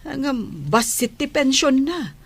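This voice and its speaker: A woman playing a gentle, curious, proud mother with a husky voice and Ilocano accent in a radio drama. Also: high-pitched, slow pace. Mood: curious, musing.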